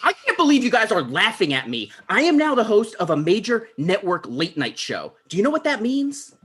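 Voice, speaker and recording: An anxious, pompous male talk show host in his thirties , read by a man at a comedy table read. His voice sits on the high side and swoops around a lot, petulant and defensive. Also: huge pitch swings, moderate pace, a bit bright, husky, full character voice. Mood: anxious, indignant. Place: video call.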